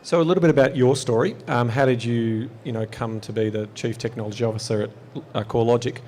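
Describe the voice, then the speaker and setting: A man's voice: mid-range voice, steady tone, fast talker, a little mellow, a little hiss or hum. A man hosting a live podcast on an expo stage, asking long, winding interview questions. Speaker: podcast host.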